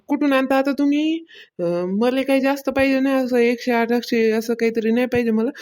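A woman's voice: fairly high pitch, fairly quick, darkish tone, close to an everyday voice. A woman playing an aggrieved village housewife in a cartoon comedy, complaining colloquially with a rural Maharashtrian accent. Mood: pleading. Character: aggrieved village housewife fretting over her worship. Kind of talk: amateur cartoon comedy dialogue.

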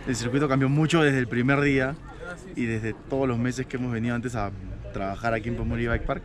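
A man, a downhill racer, talking excitedly about the course after a run. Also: medium pitch, a little fast, a crowd in the background. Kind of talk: casual post-race talk.

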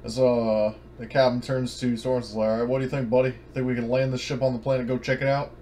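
A man's voice: medium pitch, level tone, quick pace, darkish tone. A man talking casually as a player in an online tabletop roleplaying game. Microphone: online voice call. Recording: faint background noise.

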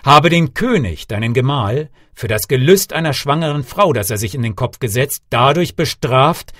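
A man's voice: mid-range voice, fairly bright tone, dry recording, studio quality. A man as a solemn, authoritative sorcerer grandfather. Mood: stern judgment. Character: stern sorcerer father, grandfather, punishing then forgiving.

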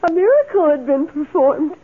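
An actress playing a meek, lonely, adoring maid in a radio drama, speaking in a timid, halting, wistful way. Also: female voice, high voice, very animated. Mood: flustered, adoring. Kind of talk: old radio drama acting. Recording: tinny, hissy old radio recording.

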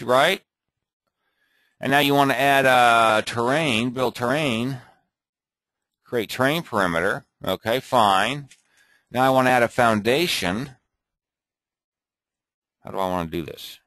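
A man thinking aloud and muttering to himself as he clicks through an off-the-cuff software tutorial at his computer. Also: mid-range voice, slow pace, long pauses, no room sound. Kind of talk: off-the-cuff screen recording tutorial.

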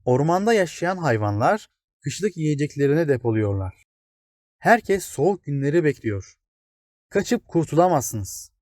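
A man's voice: on the high side, sing-song, unhurried, a little mellow, no echo, studio quality. A man voicing an old, wise, towering plane tree in a children's storybook, kindly but grave, explaining things patiently. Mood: grave, pitying. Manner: explains patiently, exclaims and addresses small listeners. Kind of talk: children's storybook character reading.

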